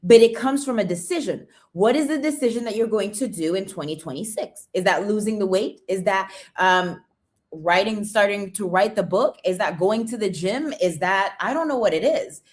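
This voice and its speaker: A woman's voice: mid-range voice, lively intonation, normal speed. A woman hosting a motivational livestream, chatting casually and greeting viewers by name. She often tags her points with "right?" and English is not her first language. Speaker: livestream host, supplement seller.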